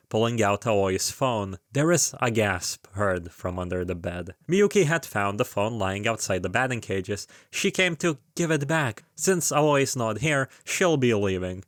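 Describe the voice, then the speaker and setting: A man's voice: lowish voice, no echo, studio quality. A man narrating a scripted plot recap of a story, with casual, informal asides. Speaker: video creator narrating a game retrospective.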